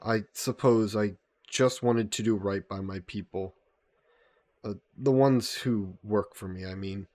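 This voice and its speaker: A man playing a gentle, guilt-burdened vampire caretaker in an intimate audio roleplay, speaking politely and formally with some hesitation. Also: lowish voice, steady tone, a little slow, no room sound, natural voice. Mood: regretful, reflective. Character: gentle, guilt-burdened male vampire employer caring for a guest.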